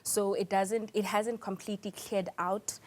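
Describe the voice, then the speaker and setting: A South African woman speaks as an interview guest. Her delivery is composed and measured, with a mid-pitched voice, as she gives considered answers in a news interview style. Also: steady tone, slight background noise. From South Africa, small town in Mpumalanga. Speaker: interview guest, air traffic controller.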